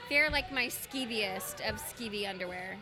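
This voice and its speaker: A woman's voice: medium pitch, moderate pace, pushed and bright, soft background music. A middle-aged woman co-hosting a casual chat, candid and chatty, full of fillers. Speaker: podcast co-host.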